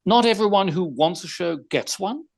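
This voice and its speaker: A private detective in an audio theater piece. His voice swings in animated arcs as he puts formal, precise, probing questions to his suspects. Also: sits high, normal speed, gravelly, full character voice.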